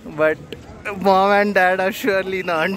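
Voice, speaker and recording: A man's voice: high-pitched, steady tone, a little slow. Chuckling man vlogging outdoors. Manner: cheerful, chuckling, wry about hardship. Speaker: travel vlogger. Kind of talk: casual travel vlog narration. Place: outdoors at a lake, on a paddle boat. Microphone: phone selfie at arm's length. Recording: loud outdoor noise, boats and traffic. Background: loud outdoor ambience and vehicles.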